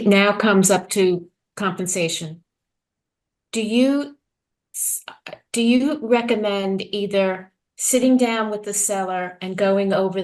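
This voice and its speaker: A woman in the audience of a seminar asking careful, drawn-out questions. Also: mid-range voice, poor audio.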